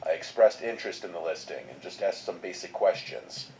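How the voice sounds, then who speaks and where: Deep-voiced man reading a story. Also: animated, brisk, dark tone, a little hiss or hum, rough recording. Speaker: horror story narrator. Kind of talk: scripted first-person narration.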